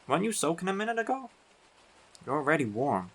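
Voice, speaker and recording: A man playing a big, teasing, affectionate biker werewolf boyfriend in an intimate roleplay audio, talking in an unhurried, colloquial way. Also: fairly high pitch, sing-song, fairly bright tone, husky, exaggerated voice. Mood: playful teasing.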